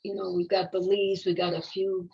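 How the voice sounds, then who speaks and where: An older woman reading a picture book aloud, unhurried, with casual explanatory asides. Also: medium pitch, little pitch movement, vocal fry, a little mellow. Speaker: children's story reader. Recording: dull, muffled lo-fi sound.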